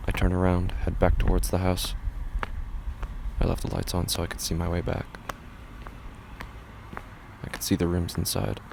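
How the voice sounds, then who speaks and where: Male actor as grieving widower living alone, very flat intonation, gravelly fry, halting understated horror narration. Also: low-pitched, noisy background. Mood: numb, quiet. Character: bereaved widowed man living alone, subdued. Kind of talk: scripted horror fiction narration.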